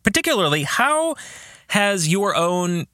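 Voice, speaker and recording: Bright, animated male podcast host. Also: sits high, a little slow, dry recording, clean studio sound. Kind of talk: scripted podcast narration with book-club reflection.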